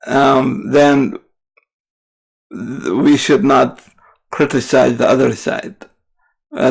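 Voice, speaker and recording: A man from the Middle East, a native Arabic speaker, giving unscripted political commentary as a podcast host in a halting way, often correcting himself. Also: medium pitch, creaky, poor audio. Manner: halting, searching for words with frequent self-corrections.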